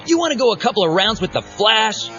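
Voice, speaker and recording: A man's voice: high voice, big pitch swings, fast. A man playing a cocky, wisecracking speedster superhero in an audio drama, with a breezy, joking manner. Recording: dull cassette audio under loud music.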